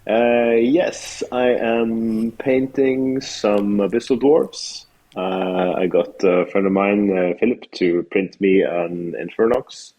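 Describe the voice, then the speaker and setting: Deep-voiced Norwegian man chatting. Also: fairly even intonation, takes it slowly, few pauses, warm tone. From Norway. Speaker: podcast guest. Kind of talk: casual chat.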